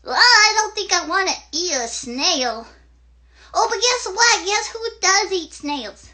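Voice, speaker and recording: Very high, childlike little girl puppet. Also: sing-song, a little slow, sharp tone, exaggerated voice. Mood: chatty, eager. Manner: childlike, rambling, eager. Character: chatty excitable little girl puppet showing off her room. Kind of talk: interactive children's puppet show.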